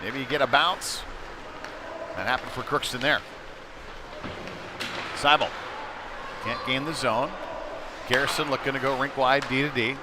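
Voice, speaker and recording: A man's voice: medium pitch, fairly even intonation, unhurried, pauses often. Man calling hockey over arena noise. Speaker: ice hockey play-by-play commentator. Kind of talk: live sports commentary. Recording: noisy live rink broadcast.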